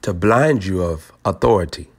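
A man preaching in a prophetic teaching style, deliberate and aphoristic, repeating phrases for emphasis. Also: deep voice, lively intonation, a little mellow, slightly rough. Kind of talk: prophetic teaching over a phone call.

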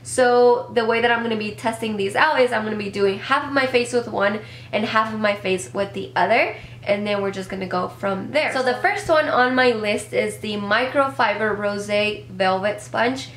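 A woman's voice: medium pitch, normal speed, fairly bright tone. A young woman in her twenties chatting casually to camera in a product review, a bit congested from a cold, upbeat and rambling with little self-corrections. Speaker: beauty vlogger. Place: small home room with decor shelves. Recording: echoey room with faint hum.

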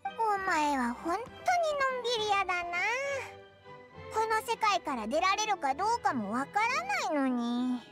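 A woman voicing a tiny, childlike companion creature in an anime game, squeaky, blunt and excitable. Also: measured pace, exaggerated voice. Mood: worried, questioning. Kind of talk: Japanese anime game voice acting. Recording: game music behind voice.

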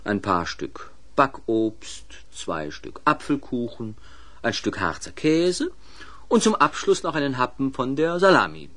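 A man's voice: mid-range voice, big pitch swings, a little slow, fairly bright tone. A man narrating a children's adventure radio play in a scripted storybook style. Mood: descriptive, wry.

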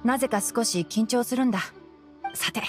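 A woman playing a young male forest ranger in a Japanese anime game, calm and studious, explaining things in a measured way. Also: on the high side, faint music behind, close to an everyday voice. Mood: composed, encouraging. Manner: measured, matter-of-fact explaining.

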